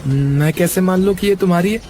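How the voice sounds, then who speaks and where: A man's voice: sits high, fairly quick, mellow, a little hiss or hum, close to an everyday voice. A man voicing a humble, polite young laborer in a cartoon. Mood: questioning. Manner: polite, pleading. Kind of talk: cartoon voice acting.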